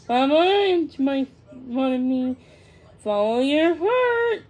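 A plucky wounded male swallow from a storybook, acted out by a woman. The voice is high and exclamatory, with moaning, drawn-out words and a dramatic, encouraging temper. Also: big pitch swings, darkish tone, heavily acted. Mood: chuckling, encouraging.

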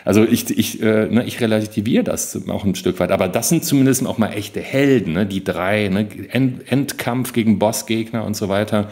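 A man's voice: lowish voice, normal speed, few pauses, a little mellow. A man co-hosting a podcast, reflecting casually and often adding tag questions. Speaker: podcast co-host. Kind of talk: casual panel discussion.

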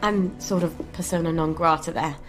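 A woman's voice: low-pitched, steady tone, normal speed, over music, natural voice. An actress playing a frank, wry, wistful woman chemist in a video game, speaking intimately and sometimes whispering. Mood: businesslike. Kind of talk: naturalistic video game acting.

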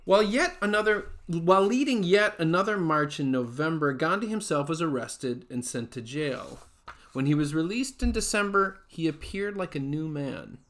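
A man in his forties reads nonfiction aloud in a fairly high voice. His phrasing is measured and deliberate, in the style of an author presenting his own book. He is recorded at home, at arm's length from the microphone. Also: expressive, a bit bright. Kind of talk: read-aloud nonfiction narration. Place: small furnished room at home, indoors.

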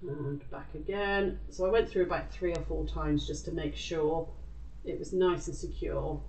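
A British woman hosts an informal craft lesson in a chatty, off-the-cuff way. Her voice sits a little low. It is picked up from a distance in an echoey room. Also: fairly even intonation, measured pace, a little mellow. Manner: chatty, informal, talks to self while working. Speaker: craft tutor and live show host. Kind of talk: casual live craft demonstration.

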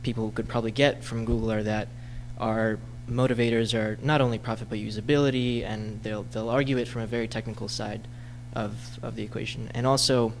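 Flat-voiced man asking a question. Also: fairly low pitch, fairly quick, hardly any pauses, a little hiss or hum, rough recording. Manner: hesitant, flat, many ums. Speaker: audience member asking a question. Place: lecture hall audience.

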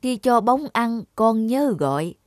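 A woman voicing a kindly old male fairy sage with a gentle, measured, consoling manner. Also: fairly high pitch, very animated, dry recording, clean studio sound.

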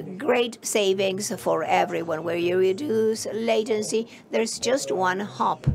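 A woman giving a halting simultaneous interpretation of a conference talk, with fillers. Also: mid-range voice, creaky. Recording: conference hall with audience noise.

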